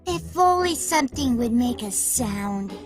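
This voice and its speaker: A woman voicing a small, timid, wide-eyed child in an animated children's story, earnest and expressive. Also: fairly high pitch, unhurried, over music. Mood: frightened, wistful.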